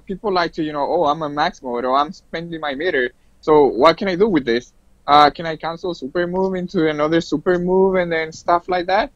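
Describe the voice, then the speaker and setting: A man's voice: high voice, no echo. Guy with a lightish voice, english not his first language, chatting about games, bit muffled. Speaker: guest esports player. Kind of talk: casual interview answers about a fighting game.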